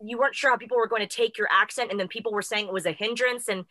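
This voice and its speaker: Fast chatty woman, higher voice, bright, dry mic sound. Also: fairly even intonation, no room sound. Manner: quick, upbeat and talkative. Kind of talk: casual chat.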